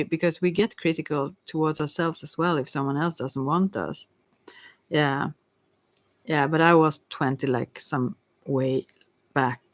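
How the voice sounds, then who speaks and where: A woman's voice: deep voice, no room sound. A middle-aged woman giving a casual, rambling tarot reading in a hesitant, candid, digressive way. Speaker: tarot reader.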